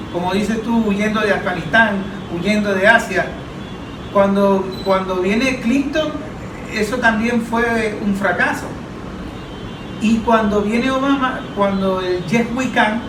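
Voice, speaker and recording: A man speaking as an analyst in a political roundtable, making his argument deliberately through listed examples. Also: high voice. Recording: echoey, distant mic, background noise.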